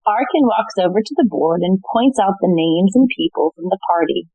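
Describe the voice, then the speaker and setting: A woman's voice: mid-range voice, animated, moderate pace, husky, no echo, phone-quality sound. A woman narrator reading scene-setting stage directions for an audio play in a scripted narration style.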